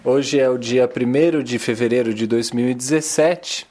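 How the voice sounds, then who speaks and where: A man's voice: mid-range voice, moderate pace, darkish tone. Man in his thirties hosting a radio talk. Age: 30s. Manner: thoughtful, searching for words with restarts. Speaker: radio program host. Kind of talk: casual community radio talk. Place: publisher's recording studio. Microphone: handheld recorder.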